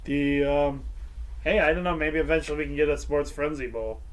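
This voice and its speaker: A man's voice: a little high, steady tone, fairly quick, low-quality recording. A middle-aged man hosting a casual sports preview show, rambling and hesitant, with a lot of fillers. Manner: rambling, hesitant, breaks into goofy sung tunes.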